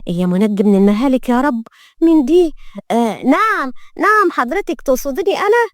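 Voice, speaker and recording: A woman's voice: high voice, lively intonation, fast, dry recording, clean studio sound, pitched above the performer's own voice. A woman with an Egyptian accent voicing an anxious, flustered young servant woman who mutters and pleads in an audio story. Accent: Egyptian Arabic.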